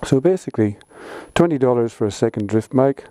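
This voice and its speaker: A man's voice: mid-range voice, expressive, brisk, dark tone, no echo. A man giving a casual how-to explanation for a vlog in an informal, plain-spoken way. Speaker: vlogger.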